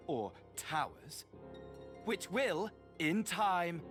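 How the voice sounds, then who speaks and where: A man's voice: high-pitched, fairly bright tone, exaggerated voice. An actor playing a gleefully nihilistic villain mastermind in a video game, mocking and grandiose like a mad showman. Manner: grandiose showman, swinging from mocking courtesy to mania. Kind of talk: theatrical video game voice acting. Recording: game audio with music behind.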